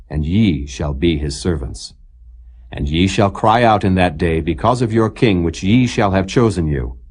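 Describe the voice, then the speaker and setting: A man with a very low, husky voice reads old King James scripture as a narrator. His delivery is solemn and measured, set out phrase by phrase. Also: darkish tone. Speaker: Bible narrator.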